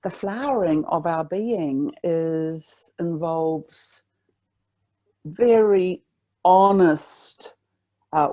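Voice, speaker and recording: A woman with a low, full voice talks as a voice teacher in an easy, unhurried conversation. She muses on the spirit and the body and now and then shows off a high or loud note. Her tone is thoughtful and searching. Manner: reflective, spiritual, demonstrates pitch while talking. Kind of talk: relaxed conversational interview.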